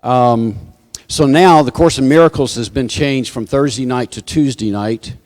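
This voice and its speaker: A man's voice: medium pitch, measured pace, a little mellow. A man giving informal church announcements to a congregation, with folksy joking asides. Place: church sanctuary, live service. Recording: hall reverb from sound system.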